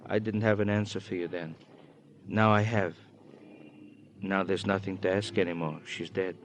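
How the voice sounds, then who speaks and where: An actor playing a somber, tired hospital doctor in a 1950s radio drama, grave and repeating himself. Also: male voice, low-pitched, steady tone, lightly acted. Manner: grave, halting repetition. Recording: old radio recording, faint noise.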